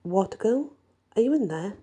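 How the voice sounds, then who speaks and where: Woman as a bold, husky young werewolf heroine. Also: medium pitch, expressive, normal speed, warm tone, pitched above the performer's own voice. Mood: calling out.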